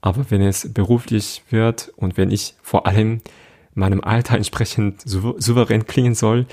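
A man whose native language is Korean speaks with a Korean accent, answering set questions in a casual, reflective way and pausing often to search for words. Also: fairly low pitch, steady tone, a little mellow. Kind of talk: casual personal talk.

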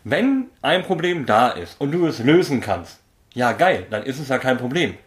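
A man's voice: mid-range voice, big pitch swings, moderate pace. A man from Berlin talking bluntly and directly as a guest in a casual conversation, full of slang.

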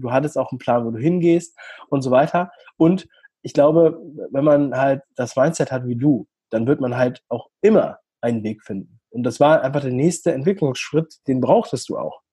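A man's voice: medium pitch, dark tone, breathy edge, dry recording. Man with a cold, motivational coach. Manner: coaching, motivational, casual slang. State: has a cold. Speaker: host, mastermind coach. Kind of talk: casual conversational interview.

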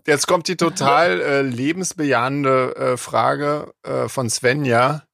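A man's voice: medium pitch, steady tone, takes it slowly, vocal fry, fairly bright tone, dry recording, clean studio sound. A man, a singer and lyricist, chatting casually on a podcast, hesitant with lots of fillers and frequent laughs.

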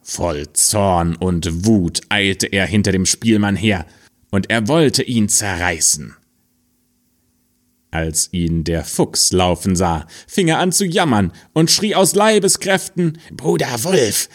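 A man reading a fairy tale aloud in a slow, expressive storytelling manner. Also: deep voice.

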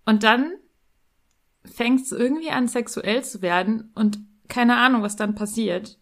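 Female host, casual and teasing, lots of vocal fry. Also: a little high, a little slow. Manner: chatty, teasing. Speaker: podcast host. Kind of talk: casual podcast interview.

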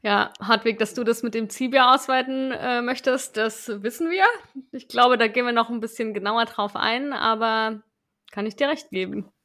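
A woman hosts a relaxed podcast conversation. Her voice sits a little high and sounds bright and clear. She talks in loose, rambling sentences that lead into her questions. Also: normal speed. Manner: asks guests questions in a chatty, rambling way. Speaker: podcast host. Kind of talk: casual podcast chat.

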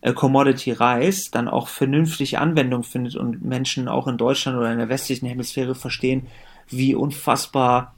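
A man's voice: mid-range voice, fairly even intonation, moderate pace. A man in his late thirties from Germany, a company co-founder talking casually in a podcast interview, with many fillers and restarts. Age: 30s. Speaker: company co-founder and managing director.

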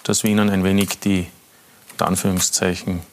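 A man with an Austrian accent hosting a sports talk show, asking measured questions with an easy, friendly laugh. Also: deep voice, level tone, a little hiss or hum. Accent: Austrian German. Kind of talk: studio interview. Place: TV studio.

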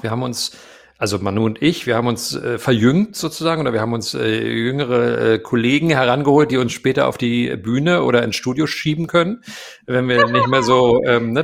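A middle-aged man, a voice actor, chatting casually as an interview guest, hesitant with many fillers and quick to laugh. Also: medium pitch, gravelly. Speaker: radio play voice actor, guest.

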